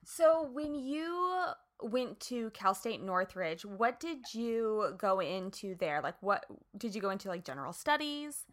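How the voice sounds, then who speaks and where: A woman's voice: mid-range voice, big pitch swings, a little slow, hardly any pauses, a bit bright. A woman hosting an interview show, talking in a casual, chatty and rambling way. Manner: chatty, rambling, lots of "like" and "you know". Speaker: podcast host.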